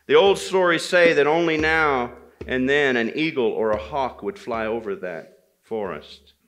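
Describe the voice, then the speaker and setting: A man telling a folktale aloud to listeners, deliberate and repeating phrases for dramatic effect. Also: medium pitch, a bit bright. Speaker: storyteller at a men's gathering. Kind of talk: live oral storytelling of a folktale, dramatic pauses and repetition.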